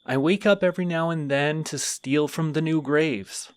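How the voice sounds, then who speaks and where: A man's voice: on the high side, normal speed, clean tone, no room sound, natural voice. A man voicing a lonely, friendly skeleton who is centuries old, in an audio roleplay. He talks in a rambling, earnest, slightly awkward way. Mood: earnest, sheepish.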